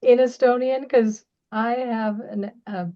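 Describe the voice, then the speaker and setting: A woman with a Canadian accent, whose first language is Bengali, interviews a guest at an unhurried pace. She backs her guest up with steady mm-hmms. Her audio sounds slightly dull and lo-fi. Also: a little high. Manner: encouraging, frequent mm-hmms. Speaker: podcast host. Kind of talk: conversational interviewing.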